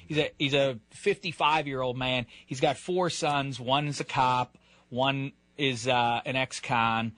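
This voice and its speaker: A man's voice: medium pitch, steady tone, measured pace, a bit bright, no room sound. A man in his thirties hosting a comedic radio show, teasing a caller in a mock-formal way with frequent stammered restarts. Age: 30s. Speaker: radio host.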